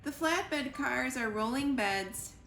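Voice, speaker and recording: A woman reading a children's picture book aloud in an expressive storytime style, with deliberate, playful emphasis on the rhyming words. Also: high voice. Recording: roomy echo with music behind. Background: music.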